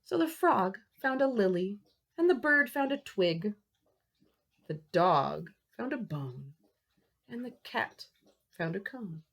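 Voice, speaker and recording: A woman in her thirties reads aloud to young children like a teacher. Her voice is slightly breathy and very animated, moving slowly through the story and stretching key words. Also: mid-range voice. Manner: expressive, gasping, drawn-out key words, child-directed. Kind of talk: animated picture-book read-aloud.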